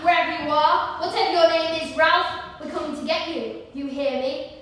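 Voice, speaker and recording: A woman's voice: extremely high pitch, animated, measured pace, bright, forceful tone, heavily acted. A woman on stage playing a menacing young leader who rouses a hunting mob with chants, taunts and commands. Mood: taunting, chanting. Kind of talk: stage acting. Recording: echoey hall from far off, faint hiss.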